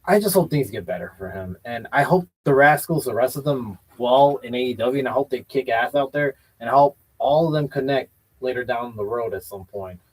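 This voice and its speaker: A man's voice: medium pitch, fairly quick, darkish tone, slightly rough, rough recording. A man hosting a casual wrestling chat show, giving blunt, opinionated takes and often hedging with "I feel like". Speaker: podcast host.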